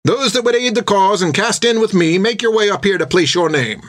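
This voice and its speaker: A man's voice: high-pitched, brisk, a bit bright, voice pushed up. A man voicing a stern male officer recruiting marines, formal and rousing. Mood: inviting.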